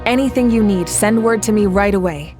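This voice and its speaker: Woman playing a calm confident lady leader in a game, mid voice, steady and in charge. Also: mid-range voice, music in the background, lightly acted. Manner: measured, reassuring, authoritative. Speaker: leader of a nation at war. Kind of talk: video game voice acting.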